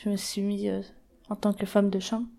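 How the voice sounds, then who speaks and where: A woman's voice: mid-range voice, flat delivery, moderate pace, creaky, warm tone. A woman in her twenties from the Paris region, answering interview questions in a plain, hesitant way with many fillers. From Paris region, France. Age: 20s. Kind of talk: documentary interview testimony.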